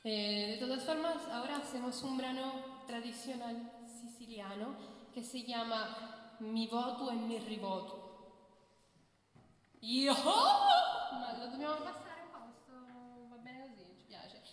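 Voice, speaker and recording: A woman talking with the audience between songs as singer and bandleader, relaxed and chatty with hesitant fillers, on a stage mic. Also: fairly high pitch, unhurried. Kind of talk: between-song stage banter. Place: concert hall with a live audience. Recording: very echoey hall, long reverb, faint guitar.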